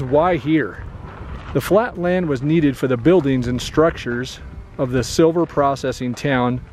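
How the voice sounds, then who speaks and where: Chatty man vlogging outdoors, slightly high. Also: a little mellow. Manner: chatty, slightly winded from hiking. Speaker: travel vlogger. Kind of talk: casual outdoor vlog narration. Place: outdoors in open desert. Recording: noisy outdoor recording.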